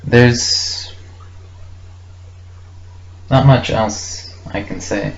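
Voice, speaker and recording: A man's voice: medium pitch, fairly even intonation, drawn-out words, creaky. A young man recording a casual, unscripted audio log, thinking aloud hesitantly and reflectively in short fragments. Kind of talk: casual unscripted rambling monologue. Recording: muffled lo-fi with faint background noise.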